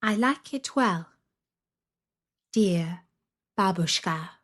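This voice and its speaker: A timid young girl from a storybook, played by a woman, talks slowly and politely. The voice is breathy and lilting, meek but brave. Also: mid-range voice, expressive. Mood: meek, willing. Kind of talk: storybook character acting.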